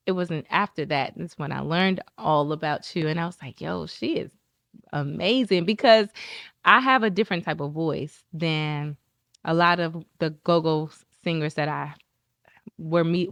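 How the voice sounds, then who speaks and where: A woman in casual podcast conversation, an animated storyteller who acts out what other people said. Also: moderate pace, dry recording. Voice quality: describes her own talking voice as high-pitched and squeaky.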